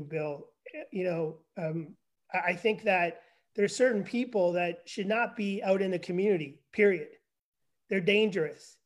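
Fifties guy w a really high voice, chatty n self-deprecating, on a video call. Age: 50s. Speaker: guest, forensic evaluator. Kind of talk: casual conversation.

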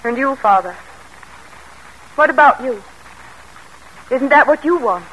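A woman's voice: high voice, lively intonation, measured pace, fairly bright tone. An actress in a classic radio drama playing a devoted, anxious young mother and daughter, earnest and genteel in manner. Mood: uneasy. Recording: noisy old radio drama recording.

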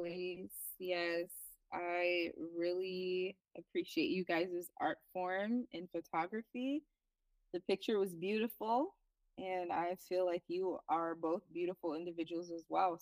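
A woman's voice: on the low side, steady tone, unhurried, dry recording, distant mic, poor audio. A woman from Liberia, a herbalist guest, answering interview questions in long, reflective, winding sentences.

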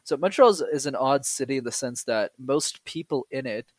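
A young man from Canada, a guest on a podcast, explaining public speaking in a lively, joking way. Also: mid-range voice, normal speed, no room sound. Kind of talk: casual podcast interview.

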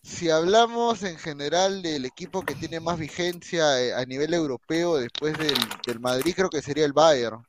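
A man's voice: fairly high pitch, moderate pace, hardly any pauses, noisy background. A Peruvian man hosting a casual football talk show, bantering with co-hosts and reading viewer comments aloud. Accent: Peruvian Spanish.

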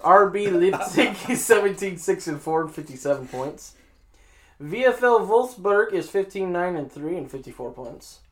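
A man's voice: high-pitched, lively intonation, moderate pace. A man hosting a casual sports show, reading out league standings and joking at his own expense. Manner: joking, self-mocking, reading scores.

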